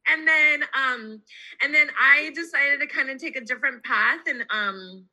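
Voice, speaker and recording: A woman from Hawaii, a podcast guest, answering in a relaxed, halting way and laughing easily. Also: high-pitched, low-quality recording. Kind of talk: casual interview answers.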